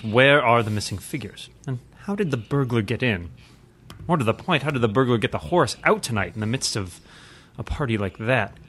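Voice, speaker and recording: A man's voice: on the low side, a bit bright, slight background noise. A man playing a suave, pompous playboy who is secretly a mysterious crimefighter, in theatrical old-time radio parody style. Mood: pondering. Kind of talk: parody of 1940s radio drama.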